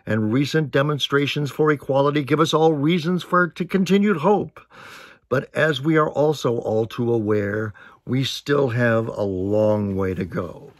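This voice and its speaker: An older man giving a prepared, earnest statement to camera in measured, deliberate phrases. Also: mid-range voice, no room sound, studio quality. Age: older, 60s or 70s. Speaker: narrator and author. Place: indoors, small attic-like room with wooden sloped ceiling.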